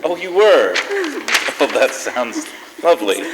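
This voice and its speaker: A man's voice: mid-range voice, very animated, a little slow. Casting: man as sentimental, genial Christmas show host, stage delivery, reverberant hall, slight background noise. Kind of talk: stage hosting and scripted reading. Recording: echoey hall with faint noise.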